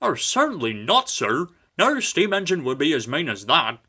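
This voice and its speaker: A man's voice: fairly high pitch, wildly swooping pitch, normal speed, pushed and bright, husky, pitched above the performer's own voice, full character voice. Man putting on a polite little steam engine, grave, courteous, slightly raised voice.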